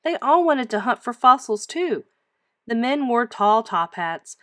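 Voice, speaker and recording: Very animated woman reading a storybook. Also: a little high, a little slow, no echo, clean studio sound. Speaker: teacher reading aloud. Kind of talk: expressive children's storybook read-aloud.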